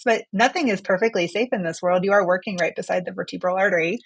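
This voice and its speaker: A woman's voice: mid-range voice, dry recording, low-quality recording. A woman physician being interviewed as a study author, explaining research in a conversational way and posing questions she then answers.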